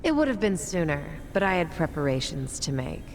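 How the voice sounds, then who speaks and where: A self-assured, teasing crime boss lady from a video game. Her lowish voice moves up and down playfully. There is clear ambient noise behind her. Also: big pitch swings, fairly quick. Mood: playful, poised. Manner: confident, teasing, poised. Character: poised, flirtatious female crime boss, confident and teasing. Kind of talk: English video game dub acting.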